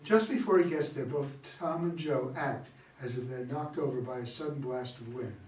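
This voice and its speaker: A man's voice: mid-range voice, moderate pace, a little mellow. Man reading stage directions in an echoey room. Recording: echoey room, distant mic, background noise.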